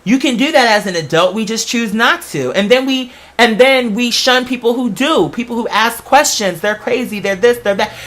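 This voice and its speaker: A host with a bright, forceful voice at a middling pitch talks casually and directly to followers. The delivery is candid and rambling, full of familiar slang, like an unscripted chat with friends. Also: moderate pace, few pauses. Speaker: online show host. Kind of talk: casual conversational monologue to followers.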